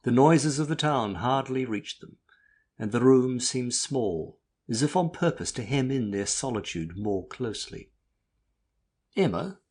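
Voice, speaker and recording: A man narrating classic literature as an audiobook, with a husky voice and measured storytelling manner. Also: mid-range voice, darkish tone.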